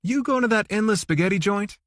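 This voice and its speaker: A man's voice: sits high, a little fast, fairly bright tone, no echo, clean studio sound, voice pushed up. A man voicing a sassy, teasing audiobook woman with a folksy, slangy drawl. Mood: brisk, guarded.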